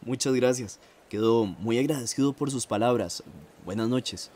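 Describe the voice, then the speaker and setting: A man's voice: medium pitch, normal speed, a little mellow, a little hiss or hum, lightly acted. A man playing a gracious, modest 1950s composer in a radio theatre interview, with a Costa Rican accent and a formal, reflective manner. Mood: grateful.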